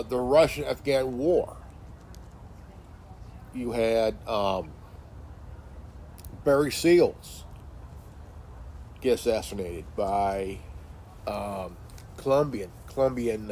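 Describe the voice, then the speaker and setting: A man in his late forties talking in a casual monologue, halting with many fillers and restarts, sitting in a chair indoors. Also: mid-range voice, darkish tone, slight background noise. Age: 40s. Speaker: commentary channel host.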